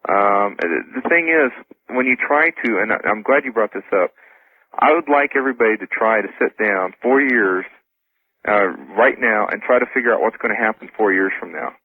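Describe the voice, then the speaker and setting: A man's voice: on the low side, moderate pace, slightly rough. A man talking casually as a radio guest, rephrasing himself as he goes. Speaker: interview guest. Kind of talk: casual radio interview talk. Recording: roomy, phone-like radio line.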